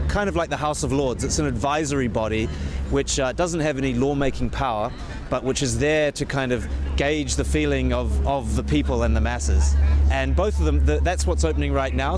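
A man in his thirties, a news bureau chief, explaining events in an on-location interview outdoors in a large public square, thoughtful and full of restarts. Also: medium pitch. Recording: outdoor noise behind the voice.

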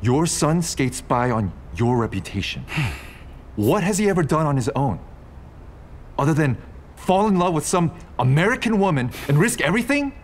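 A man playing a big, resentful, scornful driver turned traitor in an audio drama, bitter and defiant in his formal speech. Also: a little high. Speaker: actor in a podcast drama.

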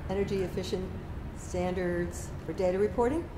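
An older woman moderates a panel, feeling her way through questions. Her mid-pitched voice carries through a roomy space with clear background noise. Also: normal speed. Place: panel in a hard-walled room. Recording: roomy sound, noisy background.

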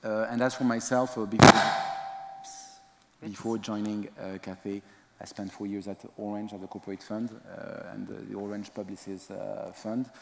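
A man in his thirties speaks English with a French accent, pausing often on fillers as a panelist. His voice sits fairly low. He wears a headset mic on a conference stage, and a large hall echoes with long reverb. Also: level tone, vocal fry, fairly bright tone, a little hiss or hum. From Spain. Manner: halting, many fillers. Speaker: panelist, investor. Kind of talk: panel discussion.

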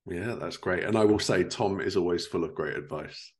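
A man's voice: sits low, normal speed. A man in his thirties hosting a conversational podcast interview over a video call from his living room. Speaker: podcast host. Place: living room at home, indoors.

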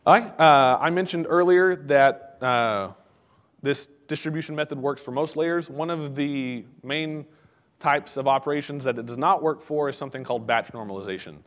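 A man giving a technical conference talk in a casual, halting way, with many fillers and restarts. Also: on the high side, a bit bright, long reverb tail. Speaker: conference speaker. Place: conference hall.

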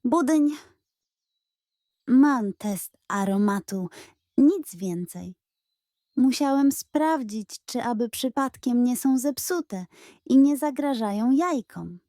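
A woman's voice: a little high, expressive, slow, dark tone, no echo, studio quality. A woman voicing a pompous, greedy, sly male pug in a children's story, making grandiose ceremonial speeches. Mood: falsely innocent.

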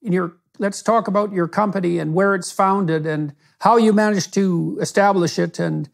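A middle-aged man hosting an interview, reading a measured, formal introduction of his guest. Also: high voice, steady tone, creaky. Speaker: interviewer host.

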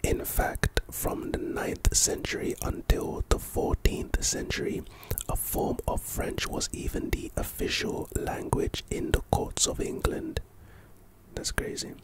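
A man's voice: slow pace, poor audio. A man whispering an ASMR vocabulary lesson, reading word origins and example sentences with playful asides. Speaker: ASMR host teaching vocabulary.

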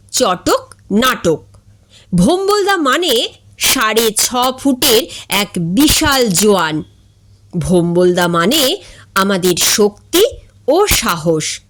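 Slow, lively woman storyteller. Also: on the high side. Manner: expressive, slow and deliberate. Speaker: story reader. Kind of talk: scripted storytelling narration.

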